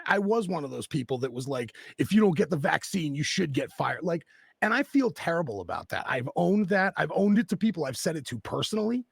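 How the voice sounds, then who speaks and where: A man with a very gravelly, husky voice, speaking at a slightly raised pitch. He talks bluntly and with passion, swearing freely. The sound is close and dry. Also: no echo. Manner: blunt and profane, impassioned. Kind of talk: casual political chat.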